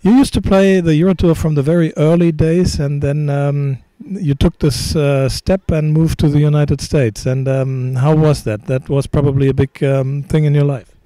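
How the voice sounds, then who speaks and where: Mellow-voiced male interviewer. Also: a little high, fairly even intonation, dry recording, rough recording. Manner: friendly, halting, many ums. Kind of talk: casual interview questions.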